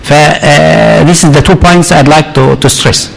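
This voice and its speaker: Halting doctor, higher voice. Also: male voice, creaky, a little hiss or hum, poor audio. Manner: halting, many fillers, repeats words for stress. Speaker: urologist panelist. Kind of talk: conference panel discussion.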